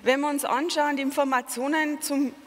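A woman's voice: high-pitched, steady tone, normal speed, in a large hall. Bavarian woman giving an earnest conference talk. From Lower Bavaria, Germany. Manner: earnest, explanatory, with many fillers and self-corrections. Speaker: patient advocate speaker.

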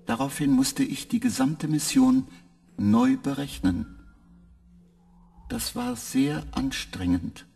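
Weary ship computer: slow, halting, literal, gravelly, over soft music. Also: male voice, medium pitch, huge pitch swings, exaggerated voice. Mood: flat. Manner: halting, clipped literal replies. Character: weary male spaceship computer, flat and literal.

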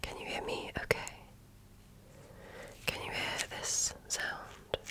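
A woman whispering in ASMR style as she role-plays a medical examiner, gently asking questions in short, measured phrases.

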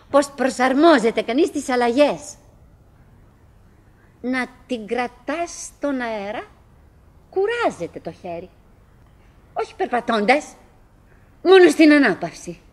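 An actress playing a chatty middle-aged wife in a theatrical radio drama monologue, halting and musing, by turns coaxing and murmuring, wistful, fretful and forcedly cheerful. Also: female voice, sits high. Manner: halting, musing, self-interrupting, swinging between coaxing and murmuring.

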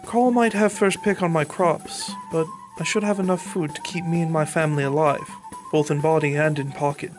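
A man's voice: on the high side, steady tone, normal speed, over music, lightly acted. A man playing an earnest, humble medieval peasant farmer in an audio drama, with a pleading, hesitant manner. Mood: relieved. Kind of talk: audio drama acting and narration.

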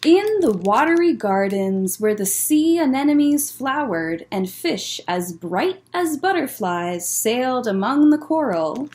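A woman's voice: on the high side, big pitch swings, takes it slowly, few pauses, darkish tone. A young adult woman hosting a children's story time, explaining things simply as she chats and reads aloud. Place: library room with bookshelves, indoors. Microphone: webcam or laptop at desk distance.

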